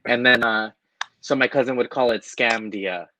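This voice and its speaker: A man's voice: mid-range voice, normal speed, background noise. Dry self-deprecating male comedian guest. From Southern California. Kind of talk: casual chat over video call. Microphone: video call.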